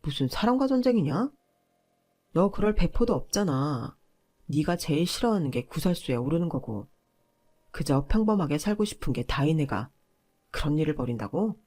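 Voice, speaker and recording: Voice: medium pitch, animated, normal speed, long pauses, a little mellow, close to an everyday voice. A narrator voicing an arrogant, domineering young husband in a marriage story, scornful and confrontational. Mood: mocking.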